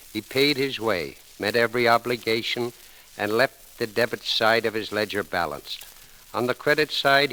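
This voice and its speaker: A man's voice: mid-range voice, pushed and bright. A man with an American accent reading a formal eulogy on 1930s radio in a solemn, oratorical way. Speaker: newspaper editor giving a eulogy. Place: radio broadcast. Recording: crackly, sizzling 1930s radio recording.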